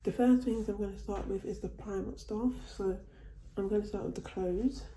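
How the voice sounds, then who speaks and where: A woman's voice: medium pitch, fairly even intonation, normal speed, dark tone, reverberant, slight background noise, rough recording. A woman showing off her shopping haul in a casual home vlog, her voice still a bit off from a cold. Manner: chatty, repeats and restarts phrases. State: recovering from a cold. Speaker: vlogger. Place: at home, indoors.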